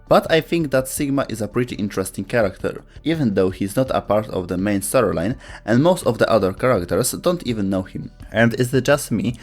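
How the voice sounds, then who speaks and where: A man's voice: fairly low pitch, darkish tone. A man reading scripted explainer narration as the narrator of a video.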